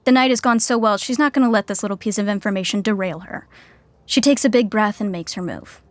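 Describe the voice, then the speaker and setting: A woman's voice: mid-range voice, fast talker, no echo. A woman giving wry scripted narration for a comedy story.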